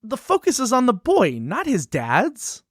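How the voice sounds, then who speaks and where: A woman's voice: fairly high pitch, huge pitch swings, measured pace, slightly rough, dry recording, clean studio sound, heavily acted. A woman acting as a cheerful, naive library book in a musical puppet show, earnest and questioning. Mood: alarmed, bewildered. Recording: music behind the voice.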